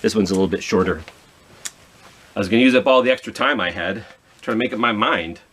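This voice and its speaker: Low, husky man reading to children. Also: fast, a bit bright. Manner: chatty, self-talking, asks listeners questions. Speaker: children's library storytime host. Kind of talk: read-aloud storytime for children with casual asides.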